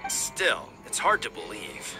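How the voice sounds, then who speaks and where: A man playing an earnest, measured head hunter in a video game. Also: lowish voice, huge pitch swings, over loud music, full character voice, rough recording. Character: earnest adult male head hunter, village judge. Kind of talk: scripted video game voice acting.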